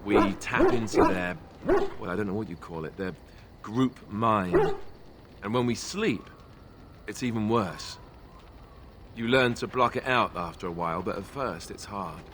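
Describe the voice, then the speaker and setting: An actor playing a wry, boyish, self-deprecating young warrior in a video game, speaking in a conversational, sardonic way. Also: male voice, on the low side, sing-song, a little slow, noisy background. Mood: sombre. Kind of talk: video game character dialogue.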